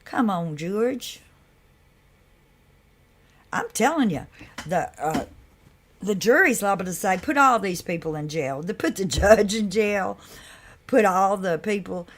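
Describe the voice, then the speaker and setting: A woman hosting a live stream in a loose, chatty way, with a deep voice that carries. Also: expressive, slow, pauses often, a little hiss or hum. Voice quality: deep, carrying voice. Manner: loose and chatty, with laughs and asides to viewers. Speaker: livestream host. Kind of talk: casual live chat.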